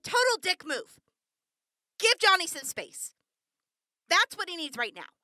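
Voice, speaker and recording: A woman's voice: very high voice, big pitch swings, a little slow, sharp tone, no echo, studio quality, heavily acted. A woman playing a blunt, foul-mouthed young woman raised among wasteland raiders in a radio drama. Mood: stern, scolding. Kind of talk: post-apocalyptic audio drama acting.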